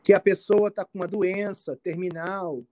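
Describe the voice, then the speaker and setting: Male expert guest, Brazilian accent, informal explanatory answers, halting delivery with frequent check-ins, dry sound. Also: sits high, steady tone, mellow, no echo. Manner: halting, repetitive, checks understanding often. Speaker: immigration expert being interviewed.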